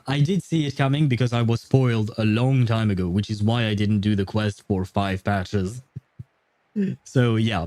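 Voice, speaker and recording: A man livestreaming a game with a dark, mellow voice. He talks casually and bluntly with wry swearing, in a dry, close sound. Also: medium pitch, dry recording. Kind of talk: casual game stream commentary.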